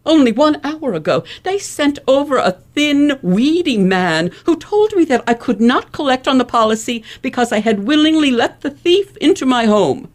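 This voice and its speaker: A woman's voice: high-pitched, sing-song, normal speed, few pauses. A woman playing a proud, imperious elocutionist lady in an old-fashioned radio play, with formal, emphatic diction. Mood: haughty, indignant. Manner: pompous, formal, emphatic enunciation.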